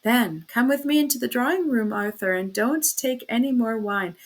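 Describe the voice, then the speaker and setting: A woman's voice: a little high, moderate pace. A woman voicing an anguished but firm young wife and mother in an audiobook, pleading and emphatic. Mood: coaxing.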